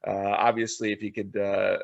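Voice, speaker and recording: A man hosting an interview show, speaking in a halting way with stumbles and repeated words. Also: fairly low pitch, flat delivery, creaky, no echo, lo-fi sound. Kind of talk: podcast interview introduction, reading a guest biography.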